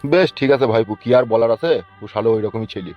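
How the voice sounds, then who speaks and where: A man's voice: medium pitch, expressive, fast talker, faint music behind, close to an everyday voice. A man voicing a folksy, inquisitive older village uncle in a comedy cartoon. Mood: chatty. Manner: familiar, inquisitive. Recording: dull lo-fi cartoon audio.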